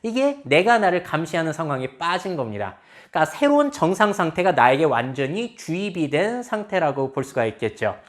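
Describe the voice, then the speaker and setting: 굴곡 많은 말투의 여성 강연자. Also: female voice, low-pitched, big pitch swings, fairly quick. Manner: explanatory, asks the audience rhetorical questions. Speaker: lecturer. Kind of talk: lecture on future trends.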